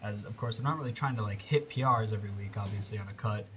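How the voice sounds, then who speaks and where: A man's voice: on the low side, fast talker, bright, forceful tone, slight background noise. A young man talking casually as a fitness vlogger, rambling with lots of fillers and self-corrections. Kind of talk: casual rambling vlog talk.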